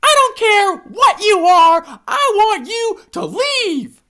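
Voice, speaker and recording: A man doing a shrill bossy hippo. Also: animated, takes it slowly, full character voice. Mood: angry shrill. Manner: shouting, very high squeaky put-on voice.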